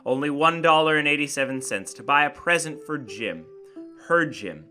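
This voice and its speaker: A man giving a theatrical, deliberate dramatic reading of a literary short story in an expressive storyteller's manner. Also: fairly high pitch. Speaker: narrator and channel host. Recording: acoustic guitar music behind the voice. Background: plucked guitar music.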